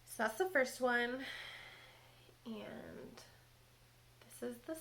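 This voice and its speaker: A woman hosting a knitting podcast, chatting casually as she shows her projects. She is stuffy from a cold and talks in a relaxed way with frequent ums. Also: a little high, takes it slowly, creaky. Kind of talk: casual show-and-tell chat. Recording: echoey room with faint background noise.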